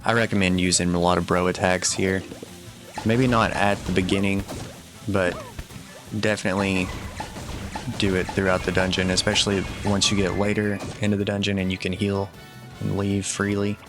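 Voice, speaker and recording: A man giving casual, matter-of-fact video game walkthrough commentary in a low-key instructional way. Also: little pitch movement, moderate pace. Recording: voice over game music. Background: video game music.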